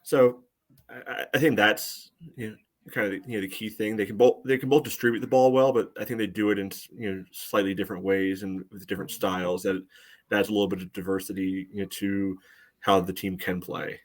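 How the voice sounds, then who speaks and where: Male podcast co-host, lower register, casual sports analysis, halting delivery full of verbal fillers. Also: fairly even intonation. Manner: hesitant, choppy phrasing peppered with "you know".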